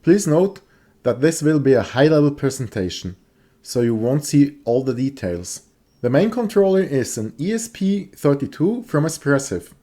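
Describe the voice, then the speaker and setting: A man's voice: a little high, big pitch swings, warm tone. A man with a Swiss accent who is not a native English speaker, giving a careful, scripted voice-over tour of his gecko setup. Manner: careful, plain delivery with some halting phrasing. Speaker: hobby gecko keeper and maker.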